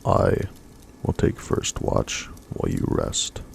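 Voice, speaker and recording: A man reading a fantasy story aloud in a soft-spoken ASMR style. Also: slow, slight background noise.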